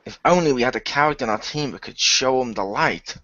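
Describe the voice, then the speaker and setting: A man's voice: medium pitch, lively intonation, quick pace, pushed and bright, no echo. A man who plays in an improvised radio play, chatting casually over an online voice call. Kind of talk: casual chat. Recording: dull, dry voice-call audio.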